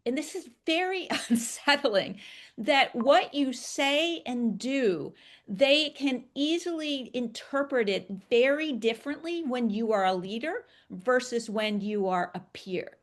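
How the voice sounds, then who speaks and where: Higher-pitched woman coach, emphatic and informal. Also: expressive, a little slow. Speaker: executive communication coach. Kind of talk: conversational explanation with hypothetical examples.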